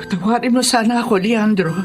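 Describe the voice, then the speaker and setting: An actress playing a middle-aged, contrite parent in a Filipino radio drama, speaking solemnly and earnestly. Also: female voice, fairly high pitch, fast talker, music in the background, natural voice. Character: contrite parent of a comatose daughter.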